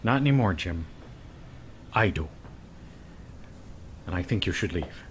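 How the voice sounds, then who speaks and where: A man's voice: sits low, animated, a little slow, noisy background. A man playing a firm executive who is taking over a company, in a radio drama. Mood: firm, cold.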